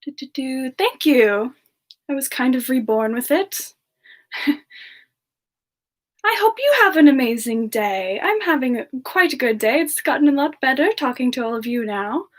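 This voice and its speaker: A gentle young siren demon, played by a woman chats with livestream viewers in a semi-ASMR style. Her voice is fairly high and very unhurried. She is soft-spoken, polite and a little hesitant. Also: expressive. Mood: gentle, cheerful. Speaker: livestream host in character. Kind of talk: semi-ASMR in-character live Q&A chat.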